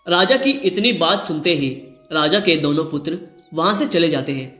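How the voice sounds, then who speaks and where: Male storyteller: highish, bright voice, scripted narration, roomy echo, faint music behind. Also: animated, moderate pace.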